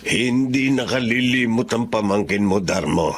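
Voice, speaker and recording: A creaky, weary Filipino grandfather. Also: fairly low pitch, moderate pace. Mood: mild, earnest. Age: older. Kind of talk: Tagalog radio drama acting.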